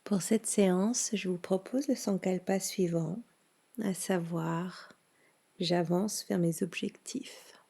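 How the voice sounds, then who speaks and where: A woman leading a guided yoga nidra relaxation in a soothing, hushed manner with long pauses. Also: on the low side, steady tone, creaky, breathy edge.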